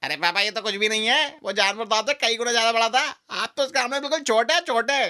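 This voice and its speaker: A man voicing a blunt, excitable, wide-eyed little frog child in a children's animated fable. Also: very high-pitched, fast talker, pitched above the performer's own voice, full character voice. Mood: teasing.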